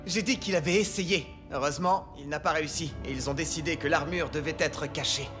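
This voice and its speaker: A man's voice: on the high side, fairly quick, over music, natural voice. A man playing an eager young inventor in a children's cartoon, explaining things with dramatic enthusiasm. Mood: earnest. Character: eager young male inventor, proud, earnest showman. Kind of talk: animated children's cartoon dubbing.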